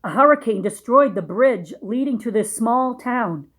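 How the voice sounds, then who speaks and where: Female storybook reader, fairly high voice, teacherly, explains simply and puts questions to young listeners. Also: lively intonation, moderate pace. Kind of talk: read-aloud with simple explanations for young children.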